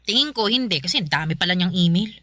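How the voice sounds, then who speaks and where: A woman's voice: on the low side, animated, fast, pushed and bright, no room sound. An actress playing an irritable, work-obsessed young Filipina career woman in a radio drama, snappy, sarcastic and scolding. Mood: harried, defensive.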